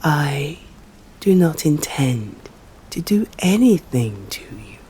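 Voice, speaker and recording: A woman with an English accent playing a stern, courteous female vampire in an ASMR roleplay, speaking formally and deliberately in a breathy voice that often drops to a whisper. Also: low-pitched, big pitch swings, mellow, a little hiss or hum, heavily acted, poor audio. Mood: composed, intrigued. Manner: slow, deliberate, formal, menacing.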